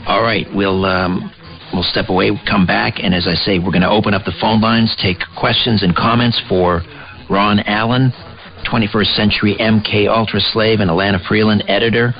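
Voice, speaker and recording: Low-voiced male radio host, dull sound. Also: fairly even intonation, normal speed. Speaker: radio talk show host. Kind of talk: live interview and break announcements. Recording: dull lo-fi shortwave radio relay.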